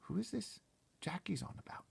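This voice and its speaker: A man's voice: sits high, expressive, normal speed, vocal fry, darkish tone, husky, pitched above the performer's own voice, heavily acted. A man voicing a curious, nervous little girl in a children's storybook, wary and questioning. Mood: puzzled. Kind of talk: children's storybook read-aloud.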